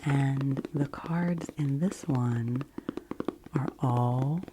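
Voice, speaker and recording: Whispering woman, very slow ASMR delivery. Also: a bass voice, background noise. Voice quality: breathy unvoiced whisper. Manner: gentle, slow, unhurried. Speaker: ASMR video host. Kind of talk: ASMR, whispered casual explanation.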